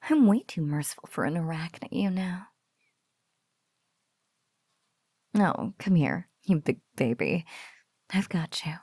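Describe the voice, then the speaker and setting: A woman playing a teasing, doting spider-woman captor in an ASMR roleplay, speaking softly in breathy whispers and lingering playfully over the words. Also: fairly low pitch, lively intonation, no room sound, studio quality. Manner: slow, soft, breathy, lingering teasing delivery.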